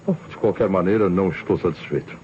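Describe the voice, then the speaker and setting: Actor as a deep-voiced Brazilian police inspector, shrewd. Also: male voice, a little mellow, natural voice. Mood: sardonic, pressing. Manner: measured, probing, rhetorical questioning. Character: shrewd, probing male police inspector, sardonic and confident. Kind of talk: old Brazilian radio crime drama acting. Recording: dull old radio recording, faint noise.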